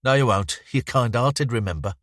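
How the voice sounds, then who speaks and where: Menacing teen thug, as a male narrator puts him on, curt, coaxing or hostile, raised pitch, dry sound. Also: animated, normal speed, dry recording, natural voice. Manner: curt, aggressive, swearing. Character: menacing sixteen-year-old male thug.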